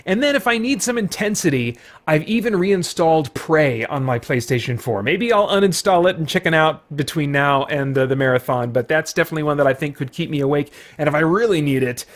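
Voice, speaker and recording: A man's voice: a little high, animated, fairly quick. A man who hosts a gaming channel, talking casually and enthusiastically in a playful, rambling, conversational way. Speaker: video game streamer and channel host. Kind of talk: casual enthusiastic vlog talk about games.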